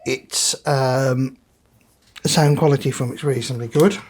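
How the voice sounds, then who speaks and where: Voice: medium pitch, steady tone, a little slow, a little mellow, slight background noise, low-quality recording. A person giving casual hands-on repair commentary, thinking aloud while working at the bench. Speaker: presenter demonstrating equipment repair.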